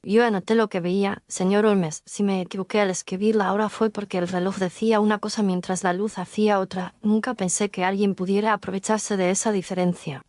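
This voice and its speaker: A woman's voice: medium pitch, fairly even intonation, brisk, few pauses, darkish tone, no room sound, studio quality, lightly acted. A woman playing an earnest, distressed young record keeper in an audio drama.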